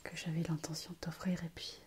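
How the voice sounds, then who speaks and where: A woman's voice: low-pitched, level tone, brisk, lightly acted. Woman playing tender whispering doctor mother. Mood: tender, hesitant. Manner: hesitant, earnest. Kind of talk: whispered ASMR roleplay.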